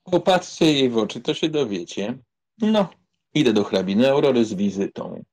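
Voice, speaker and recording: A man as a husky, cocksure aristocratic womanizer. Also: mid-range voice, sing-song, moderate pace, darkish tone. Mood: nonchalant. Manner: careless, teasing, self-assured. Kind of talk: dramatized audiobook acting.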